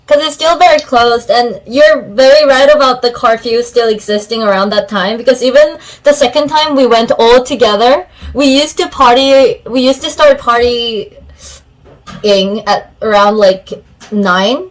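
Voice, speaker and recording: A young woman from South Korea leads the conversation, asking her friends questions in a measured, soft-spoken way. Her voice sits a little high. Her tone is relaxed and conversational. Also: poor audio. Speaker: podcast co-host. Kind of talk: casual chat.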